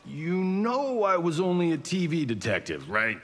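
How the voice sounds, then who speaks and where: An actor with an American accent playing a cocky, flippant Hollywood star fighter in a video game, slightly husky. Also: male voice, on the high side, huge pitch swings, moderate pace, a bit bright, full character voice. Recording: long echoing reverb.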